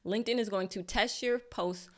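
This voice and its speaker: A woman's voice: medium pitch, moderate pace. A woman teaching a live online workshop, chatty and encouraging, laughing at her own slips. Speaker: LinkedIn marketing coach. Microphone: desk mic.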